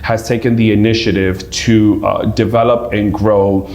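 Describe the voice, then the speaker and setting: Low-voiced man explaining in an echoey room. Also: flat delivery, unhurried, a little mellow. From New York. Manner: earnest, explanatory, frequent "right?" tags. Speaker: small business advisor. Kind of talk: interview guest, explaining. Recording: echoey room with faint ambience.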